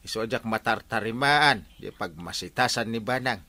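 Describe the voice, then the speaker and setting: An actor with an Ilocano Filipino accent playing a grudging, exasperated neighbour in a radio comedy drama. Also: male voice, on the low side, moderate pace, bright, forceful tone. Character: grudging, exasperated neighbour man lending things.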